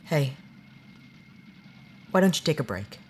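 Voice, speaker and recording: A woman playing an easygoing, caring space-crew companion in a naturalistic sci-fi audio drama, casual and gently coaxing. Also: deep voice, very animated, slow, slight background noise, exaggerated voice.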